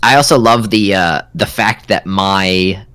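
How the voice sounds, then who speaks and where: A man's voice: low voice, a little slow, pushed and bright. A man co-hosting a podcast in casual, conversational live chat. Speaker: podcast co-host.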